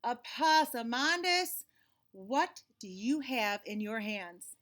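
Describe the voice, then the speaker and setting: A woman's voice: fairly high pitch, expressive, a bit bright. Woman acting out an exasperated, emphatic storybook mama. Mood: suspicious questioning. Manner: drawn-out, emphatic scolding and instructing. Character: exasperated, scolding, loving Southern-folktale mama of a silly child.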